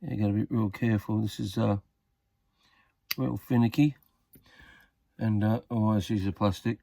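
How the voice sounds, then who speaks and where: A man talks through a hands-on job in a casual, tentative way. His voice sits fairly low with plenty of vocal fry. He mutters as he works, and the sound is very dry, with hardly any room on it. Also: fairly even intonation, moderate pace, mellow, no echo. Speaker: hobbyist demonstrating a radio repair.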